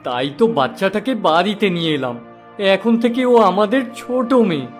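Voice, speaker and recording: A man playing a kind, soft-hearted poor father in a cartoon fairy tale, speaking in a plaintive, emotive way. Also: high-pitched, very animated, brisk. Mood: pleading, gentle. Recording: faint background music.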